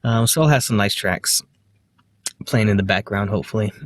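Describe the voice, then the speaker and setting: A man with a slight lisp, talking to the camera in a halting, hesitant way, full of fillers and restarts. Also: fairly low pitch, dry recording. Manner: quiet, self-deprecating. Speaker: vlogger. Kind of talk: casual self-recorded monologue.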